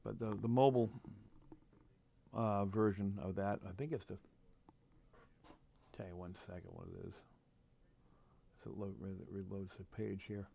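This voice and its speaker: A man from New England hosting a casual, unscripted livestream, halting and repeating himself as he thinks aloud. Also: fairly low pitch, steady tone, creaky, dark tone. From New England, United States. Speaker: livestream host, radio scanner hobbyist. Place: room at home. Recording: noticeable background noise, home livestream.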